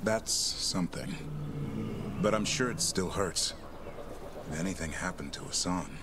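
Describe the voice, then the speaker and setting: Deep-voiced, consoling man in fantasy game. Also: a little slow, background noise. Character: gentle, sympathetic male companion in fantasy game. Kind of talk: video game dialogue acting.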